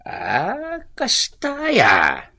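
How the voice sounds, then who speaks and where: Actor as blunt village husband, Ilocano accent, sharp voice, slow delivery, teasing, radio comedy drama. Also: male voice, sits high, huge pitch swings, gravelly, no echo, heavily acted. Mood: concerned, friendly.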